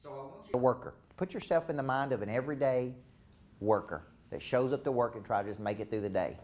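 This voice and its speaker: Southern man, leadership coach, punchy seminar delivery, mid-pitched. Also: quick pace, darkish tone. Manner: emphatic, repetitive, preacher-like cadence. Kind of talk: live seminar talk.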